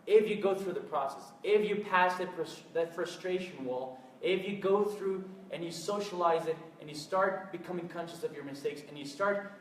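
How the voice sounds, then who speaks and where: A young man whose first language is Spanish gives an earnest, hesitant talk to a live audience, with frequent pauses and fillers. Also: high-pitched, slight background noise. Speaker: event speaker. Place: event room with live audience. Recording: echoey room, mic at a distance.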